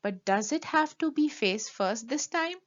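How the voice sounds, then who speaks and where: Storybook kid hero played by a female reader: eager, wide-eyed boy, bright-eyed questions, very animated pitch. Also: measured pace, highs cut off. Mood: hesitant. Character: helpful, earnest, excitable young boy in storybook.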